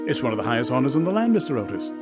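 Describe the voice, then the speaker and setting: An actor playing a stiff, anxious English aristocrat in an audiobook drama, speaking formally and gravely with an upper-class English accent. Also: male voice, medium pitch, big pitch swings, racing, natural voice. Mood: dramatic, ominous. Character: stiff, anxious English aristocrat, a lord guarding a haunted house. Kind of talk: audiobook radio-play acting. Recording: music under the voice.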